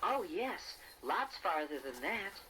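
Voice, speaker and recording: A man's voice: sits high, big pitch swings, slow pace, sharp tone, exaggerated voice. A man voicing a friendly, earnest young bear who tells his adventure in a children's read-along storybook. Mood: earnest, curious. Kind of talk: 1980s children's read-along narration and dialogue. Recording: very noisy old cassette transfer.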